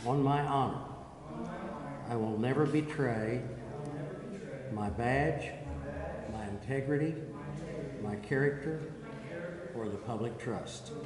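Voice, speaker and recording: Slow, formal man in an echoey hall. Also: medium pitch. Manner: measured, solemn, in short phrases for repetition. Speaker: police chief administering an oath. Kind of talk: formal oath read out phrase by phrase. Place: large echoey council chamber. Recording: echoey hall, distant mic, people audible.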